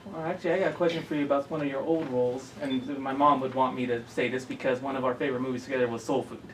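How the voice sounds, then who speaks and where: A mid-pitched man asking eager, carefully worded questions at a press junket. He sounds set back from the mic, with a faint hiss of room noise behind him. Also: fairly even intonation, very fast, far from the mic, poor audio. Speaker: interviewer.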